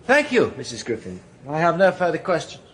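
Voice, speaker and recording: An actor playing a suave, self-assured English defence barrister, articulate and probing, in a radio drama. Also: male voice, a little high, huge pitch swings, fairly quick, a bit bright, a little hiss or hum.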